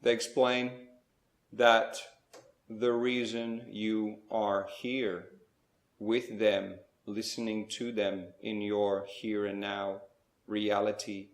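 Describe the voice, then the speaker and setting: A man leading a slow guided spiritual meditation, speaking in measured short phrases with pauses between them. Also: fairly low pitch, level tone, echoey room.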